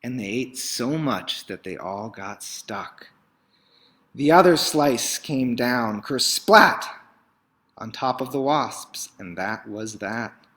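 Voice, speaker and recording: A man with a very bright, forceful voice at a middling pitch reads a picture book aloud for children. His delivery is theatrical and emphatic, shifting between dramatic swells and hushed phrases. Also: slow pace. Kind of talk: expressive children's story read-aloud.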